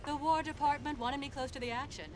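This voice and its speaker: A woman's voice: high voice, fairly even intonation, fast, music in the background, close to an everyday voice. A woman playing a confident, flirtatious wartime official in a game cutscene. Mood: teasing, admiring. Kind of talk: video game cutscene acting. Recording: clear background noise.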